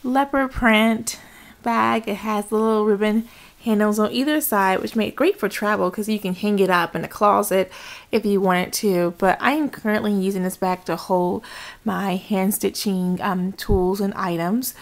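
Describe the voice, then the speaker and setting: A woman's voice: mid-range voice, normal speed. A woman chatting informally and enthusiastically as she shows things to the camera in a casual home craft vlog. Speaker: craft vlogger. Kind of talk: casual haul show-and-tell. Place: indoors at home. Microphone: handheld camera, speaker behind it.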